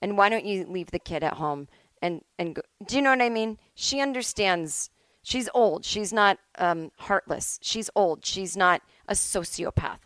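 A middle-aged woman rants casually into a close, dry recording. Her voice sits at an ordinary pitch for a woman, and she speaks bluntly and emphatically, swearing freely as she gives her opinions. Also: expressive, a little slow, fairly bright tone, no echo. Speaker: solo podcast host. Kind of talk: casual opinionated monologue, ranting. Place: at home.

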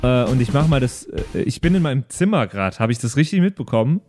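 A man's voice: mid-range voice, lively intonation, quick pace, over music. A man chatting casually as a player in an improvised tabletop role-playing game.

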